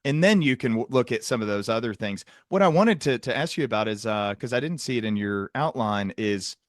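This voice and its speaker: A man's voice: medium pitch, lively intonation, a little fast, no room sound, clean studio sound. A man hosting a conversational interview, explaining things at length in technical terms as a psychiatrist. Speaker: host, psychiatrist interviewing a guest.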